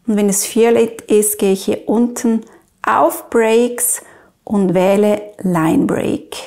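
A woman in her forties with a Swiss German accent, explaining an app step by step in a clear, instructional manner. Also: medium pitch, steady tone, slow, darkish tone. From Switzerland. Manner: clear, instructional, addresses the viewer directly. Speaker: presenter of app tutorials. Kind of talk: step-by-step screen tutorial.

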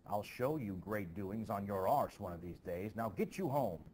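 A man playing a stern, gruff farmer in a stage play, firmly scolding and resisting temptation. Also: fairly low pitch, animated, moderate pace, a little mellow, a little hiss or hum, lightly acted. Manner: firm, scolding dramatic reading.

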